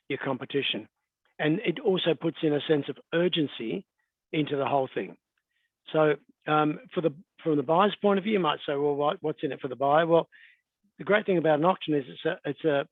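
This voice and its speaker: A middle-aged Australian man talks through a webinar in an easygoing, chatty way, his voice a little croaky from a cold. He sits on the higher side for a man. The sound is thin and phone-like, dry, as from a video call. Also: dry recording. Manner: relaxed, conversational, frequent fillers and throat clearing. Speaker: real estate agent and auctioneer. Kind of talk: webinar presentation.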